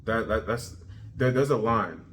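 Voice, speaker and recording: Thirty-year-old man, low voice, upbeat self-motivated vlogger, light background noise. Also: unhurried. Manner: energetic, repetitive, self-motivating. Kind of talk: casual self-improvement video journal.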